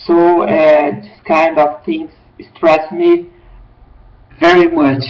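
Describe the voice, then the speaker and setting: Brazilian man, 40s, slow halting english, muffled call audio. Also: a little high, animated, vocal fry. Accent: Brazilian Portuguese. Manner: halting, many fillers. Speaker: student. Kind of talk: hesitant classroom English.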